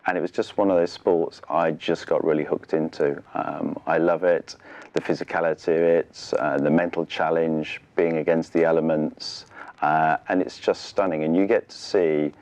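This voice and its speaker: A man's voice: very deep voice, steady tone, normal speed, darkish tone. A middle-aged man, speaking conversationally and earnestly as a studio interview guest. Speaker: interview guest, charity sailor. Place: television studio.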